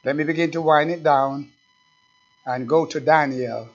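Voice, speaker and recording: High-voiced pastor preaching with fire. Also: male voice. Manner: swings from low asides to loud preaching; reads scripture slowly and with emphasis. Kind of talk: fiery sermon. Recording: dull, lo-fi recording.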